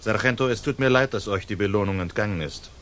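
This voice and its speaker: An actor playing a suave, courteous young noble hero with a polite, wry manner in a German radio drama. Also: male voice, fairly low pitch, fast, lightly acted. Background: soft guitar music.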